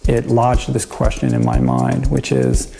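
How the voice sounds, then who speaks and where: A man's voice: a bass voice, big pitch swings, measured pace, gravelly, dark tone, soft background music. A man giving a reflective personal testimony in an interview.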